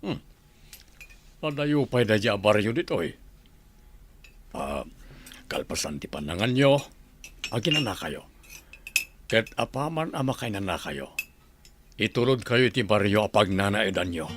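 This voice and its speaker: A man's voice: on the low side, big pitch swings, measured pace, pauses often, noisy background. A man playing a helpful, courteous husband and aspiring politician in an Ilocano radio drama.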